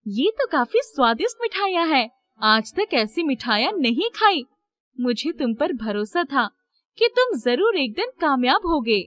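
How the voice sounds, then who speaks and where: Woman acting a high-voiced, encouraging mother. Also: big pitch swings, unhurried, a bit bright, exaggerated voice. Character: elderly caring mother of a poor sweet seller.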